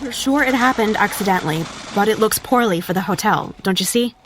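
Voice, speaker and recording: An actress playing a cautious, probing young hotel worker in an audio drama. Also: female voice, mid-range voice, brisk, noisy background, natural voice. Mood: persuasive. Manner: cautious, questioning.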